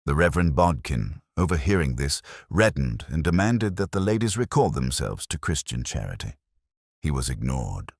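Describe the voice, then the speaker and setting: A man narrating a literary mystery story in a measured, formal way. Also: rumbling low, sing-song, dry recording, clean studio sound.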